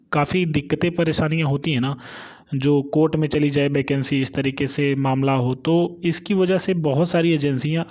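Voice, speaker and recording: A man speaks in a slightly high voice. He talks informally as a channel host, explaining exam updates point by point and checking in with listeners at the ends of phrases. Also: a little mellow.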